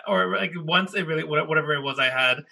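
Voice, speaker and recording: A man's voice: on the high side, quick pace, gravelly, pushed and bright. A man co-hosting a casual talk show, rambling and correcting himself as he tells a story. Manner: rambling, self-correcting, laughs often. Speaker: podcast co-host. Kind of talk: casual chat.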